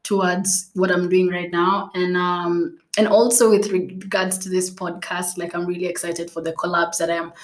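A woman's voice: fairly low pitch, little pitch movement, moderate pace, few pauses. A young woman whose native language is Swahili, hosting a casual solo podcast, chatty and playful with frequent laughs, fillers and restarts. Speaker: podcast host.

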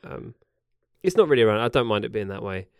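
A man with a New Zealand accent chats loosely on a home podcast, often correcting himself mid-sentence and trailing off. His voice is smooth and resonant, recorded close and dry. He keeps a relaxed, rambling conversational flow. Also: on the low side, fast, dry recording. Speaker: podcast co-host. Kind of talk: casual chat. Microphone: podcast mic.

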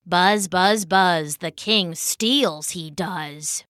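A woman voicing a bustling bumblebee in a storybook, slow and a little weary, with buzzing sounds. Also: on the low side, a bit bright, no room sound, studio quality, voice pushed down. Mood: grumbling. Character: bustling bumblebee troubled by factory smoke.

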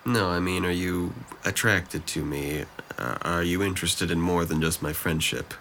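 A young man, played by a male actor in an audio drama, speaking in a very deep voice with plenty of fry. He is guarded and sardonic, putting himself down in a dry, hesitant way. There is some faint noise on the recording. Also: fairly even intonation, normal speed, fairly bright tone. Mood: questioning. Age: young adult. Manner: dry, self-deprecating, hesitant when confiding.

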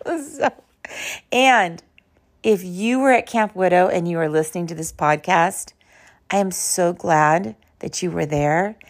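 A woman hosting a podcast in an informal, conversational and emphatic way, telling personal stories in a slightly hoarse voice. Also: on the low side. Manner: conversational, emphatic, uses rhetorical questions. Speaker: podcast host.

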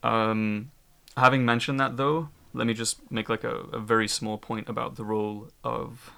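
A man's voice: mid-range voice, level tone, moderate pace, gravelly, bright, forceful tone, clean tone. A man from Ukraine speaking as a guest on a discussion panel, candid and emphatic, often restarting his sentences.

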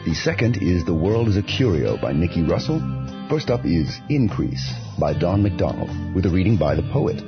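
A man presenting a scripted poetry podcast and reading verse in a measured, deliberate way. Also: low-pitched, big pitch swings. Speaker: podcast host and poetry reader. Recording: slightly dull, music behind.

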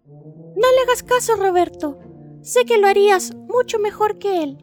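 A kind female reindeer with a sweet-natured, encouraging way of speaking. The voice sits very high and has a Chilean accent. It is radio play acting over faint music. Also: a little slow. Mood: gentle, encouraging.

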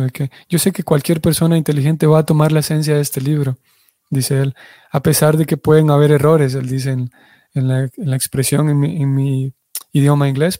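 A man giving a religious class, explaining things in a measured way and often restarting his sentences as he searches for words. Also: fairly high pitch, mellow, no room sound, clean studio sound. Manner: explanatory, restarts and self-corrections. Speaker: devotional teacher.

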